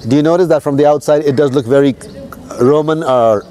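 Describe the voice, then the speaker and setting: A man's voice: a little high, fairly quick, warm tone. A man from Nepal guiding tourists outdoors, telling history in a casual, conversational way and often checking in with his listeners. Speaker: tour guide.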